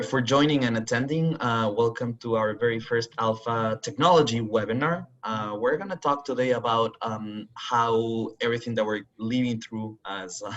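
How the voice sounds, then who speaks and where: A man's voice: medium pitch, fairly bright tone. A young man hosting a virtual interview, friendly and hesitant with lots of fillers. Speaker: host. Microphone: video call. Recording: dull lo-fi call audio.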